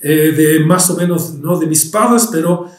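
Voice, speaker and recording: Israeli guy lecturing, argentine-ish spanish accent, fairly high voice, lots of ums, echoey room. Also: measured pace. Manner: hesitant, many fillers and restarts. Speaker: historian lecturer.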